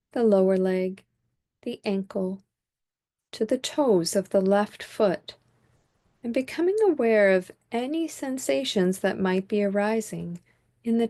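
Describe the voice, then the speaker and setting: Slow, mellow woman guiding meditation. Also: medium pitch. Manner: calm, measured, with long pauses. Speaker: meditation teacher. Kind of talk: guided body scan meditation.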